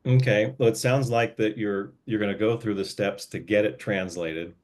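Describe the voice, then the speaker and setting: A man with a very deep voice explains his editing and layout work step by step. He talks in an easygoing way, with frequent fillers and restarts, on a casual group call. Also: steady tone, moderate pace, a little mellow. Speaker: book editor and layout guide.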